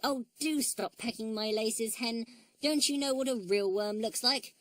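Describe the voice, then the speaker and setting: A woman voicing an eager, cheerful little wooden toy boy, with a chirpy, excitable delivery. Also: on the high side, unhurried, dry recording, voice pushed up.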